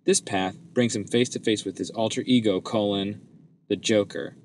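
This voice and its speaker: A man co-hosting a podcast in casual chat, chatty and quick with jokes. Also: lowish voice, fairly even intonation, darkish tone, a little hiss or hum. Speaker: podcast co-host.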